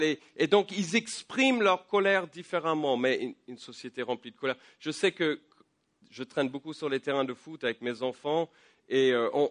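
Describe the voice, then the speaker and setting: A man preaching a sermon while he has a head cold. He talks conversationally, with many fillers and repeated words, and his voice climbs higher and louder as he builds to a point. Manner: rises in pitch and volume when building to a point. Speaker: pastor. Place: church.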